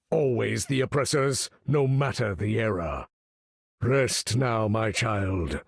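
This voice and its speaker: An actor playing a proud, imperious older mutant leader in a video game, speaking in a grand, commanding, formal manner with a husky, gravelly voice. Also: male voice, mid-range voice, no echo, clean studio sound, exaggerated voice. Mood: menacing, solemn. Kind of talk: theatrical video game character acting.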